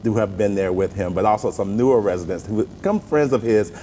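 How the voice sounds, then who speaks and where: A man with a Southern US accent talking conversationally as an interview guest, telling stories in an animated, folksy way. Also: a little fast. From southern United States. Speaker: interview guest, playwright and stage actor. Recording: roomy long reverb with rumbling noise.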